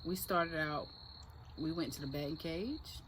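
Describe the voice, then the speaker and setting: A woman's voice: sits low, unhurried, noisy background. A woman in her forties, an accountant, casually chatting and agreeing with her longtime friend. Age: 40s. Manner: agreeable, chiming in, laughs. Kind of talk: casual chat.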